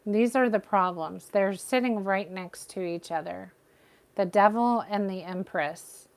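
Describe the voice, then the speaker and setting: A woman giving a live tarot reading with a soothing, gentle voice, talking straight to her viewers. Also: medium pitch, unhurried. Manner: gentle, reassuring, addresses viewers by name. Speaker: tarot reader.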